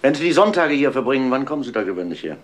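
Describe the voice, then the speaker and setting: An actor playing a persistent, shrewd male police inspector in a German crime radio drama, probing with dry, deliberate insinuation. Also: medium pitch, very animated, a little hiss or hum, natural voice. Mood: pressing.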